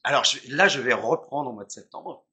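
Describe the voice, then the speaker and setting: A middle-aged man speaks as an interview guest with a sharp, very forceful tone and a husky edge. He is passionate and talkative, repeating himself and wandering into side remarks in a casual, conversational way. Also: mid-range voice, fairly quick. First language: French. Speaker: interview guest, musician, singer and teacher.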